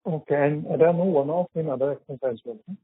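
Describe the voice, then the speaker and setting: A man with an Indian accent and a husky voice, a financial analyst asking questions on an earnings call. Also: fairly high pitch, fast talker, no echo. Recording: dry, narrow phone line.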